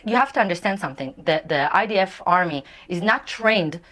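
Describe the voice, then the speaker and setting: A woman's voice: fairly low pitch, animated, fairly quick, fairly bright tone. A woman arguing as a guest in a heated television debate, insistent and repeating her points.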